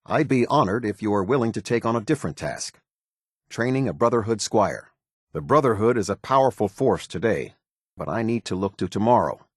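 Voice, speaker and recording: An American actor playing a stern, demanding middle-aged military officer in a video game, with clipped, formal authority and a husky voice. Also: male voice, lowish voice, lively intonation, brisk, dry recording, clean studio sound. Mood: formal, approving. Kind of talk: scripted game dialogue, military commander.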